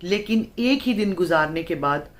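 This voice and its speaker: A woman with a fairly low voice narrates a horror story. Her delivery is dramatic and suspenseful, with deliberate phrasing and pauses between phrases. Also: expressive.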